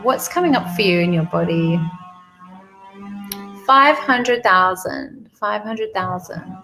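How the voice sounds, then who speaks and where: A woman guiding a meditation slowly and soothingly, repeating phrases with pauses, in a creaky, gravelly voice. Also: mid-range voice, bright, forceful tone, faint music behind. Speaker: guided meditation leader. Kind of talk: guided money meditation, spoken softly to the listener.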